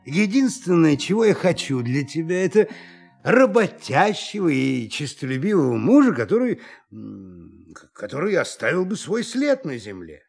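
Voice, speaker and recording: A man's voice: a little high, big pitch swings, moderate pace, creaky, breathy edge, soft background music, full character voice. An actor playing a pompous, irritable middle-aged father and television commentator in a radio play, blustering and stammering. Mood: flustered, indignant.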